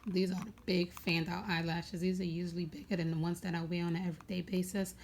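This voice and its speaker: A young woman with a raspy voice chatting casually at home, rambling with many fillers as she goes through her makeup bag. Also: lowish voice, level tone, fast, darkish tone, slight background noise. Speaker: beauty vlogger.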